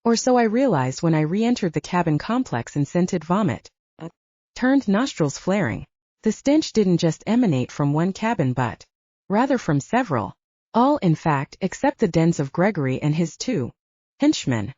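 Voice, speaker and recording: A woman's voice: on the low side, big pitch swings, moderate pace, a little mellow, no room sound, lo-fi sound. A woman reading scripted fiction as an audiobook narrator.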